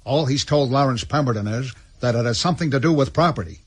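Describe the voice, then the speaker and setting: An actor playing a terse Cold War intelligence man, brisk and businesslike, in a radio spy drama. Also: medium pitch, breathy edge, close to an everyday voice. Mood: factual, urgent.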